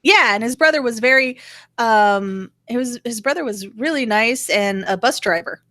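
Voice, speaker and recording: A woman's voice: fairly high pitch, lively intonation, moderate pace, sharp tone, no room sound. A woman co-hosting a casual political gossip chat, talking with dry, sardonic humor. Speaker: show co-host.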